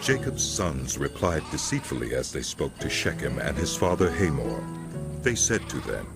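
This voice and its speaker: A man narrating scripture from a script as a solemn, measured storyteller. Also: extremely low pitch, very animated, over loud music.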